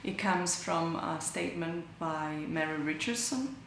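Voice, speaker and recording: A woman artist from Brazil talks through her work, pausing to find the right words and correcting herself as she goes. Her voice is low with a gravelly edge. It echoes through a large hall. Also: a little hiss or hum. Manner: thoughtful, searching for words, self-correcting. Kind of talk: interview about her artwork. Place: large gallery hall indoors.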